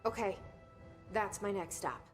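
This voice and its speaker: A woman's voice: mid-range voice, a little slow, faint music behind, lightly acted. An actress playing a reserved, determined young woman in a video game, speaking in a guarded, terse way. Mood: questioning. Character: reserved, determined young woman newly made agency director. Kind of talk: video game cutscene dialogue.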